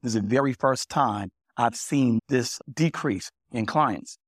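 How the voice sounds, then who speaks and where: A man's voice: medium pitch, measured pace, dry recording, clean studio sound. A man who owns barbershops, speaking plainly on a panel discussion and telling stories from his shop. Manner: plainspoken, anecdotal. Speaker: barber and small business owner.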